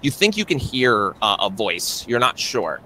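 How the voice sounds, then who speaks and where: A man working as game master, improvising narration for a horror roleplay in a halting way, often circling back over his phrases. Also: medium pitch, very animated, pushed and bright, slight background noise, low-quality recording.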